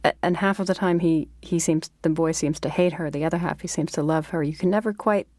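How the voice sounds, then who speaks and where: Female podcast host: soft-edged mellow tone, slightly low pitch, thoughtful literary interviewing. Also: fairly quick, no room sound, clean studio sound. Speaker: podcast host and fiction editor.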